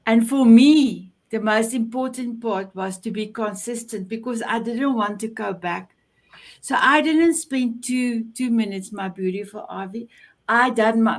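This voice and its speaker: A South African woman whose first language is Afrikaans, hosting an online show over a video call and gushing with praise. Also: a little high. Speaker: show host.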